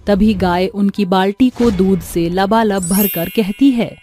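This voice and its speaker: A woman's voice: mid-range voice, a little slow, mellow, soft background music. A woman giving scripted storytelling narration for a moral tale.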